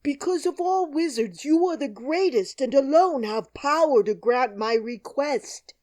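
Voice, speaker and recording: A woman's voice: high-pitched, animated, unhurried, darkish tone, voice pushed up. A woman reading an audiobook, voicing a cowardly male lion who pleads in a raised, eager voice. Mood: pleading.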